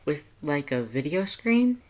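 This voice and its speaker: A man's voice: on the high side, very animated, slow, darkish tone, voice pushed up. A man voicing a curious, hesitant, good-humoured young person with a questioning manner, in a storybook reading. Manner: questioning, tentative.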